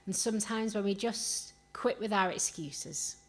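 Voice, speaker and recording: Female preacher, Yorkshire accent, medium pitch, earnest sermon delivery, rhetorical questions, repeated phrases for emphasis. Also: a little slow. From Rotherham, Yorkshire, England. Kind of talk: sermon with personal stories.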